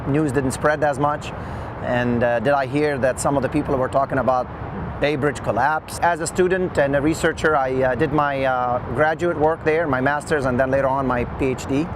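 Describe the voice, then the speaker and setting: A man's voice: mid-range voice, fairly even intonation. A middle-aged man recounts his work in an unscripted interview, hesitating often as he goes. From Lebanon. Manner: halting, with frequent long hesitations. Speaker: engineer interviewee. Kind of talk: oral history interview, unscripted recollection. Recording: loud outdoor traffic noise.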